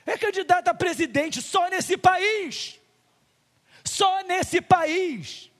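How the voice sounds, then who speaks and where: A man's voice: very high voice, slow pace, fairly bright tone, long reverb tail. A male pastor with a Brazilian Portuguese accent preaching a sermon in a church, full of rhetorical questions and acted-out little dialogues.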